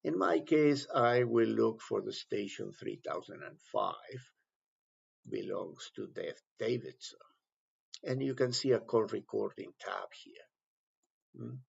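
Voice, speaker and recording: A man instructing step by step through a software tutorial, explaining in halting phrases. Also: lowish voice, lively intonation, gravelly, slightly rough. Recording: dull, lo-fi voice-over recording.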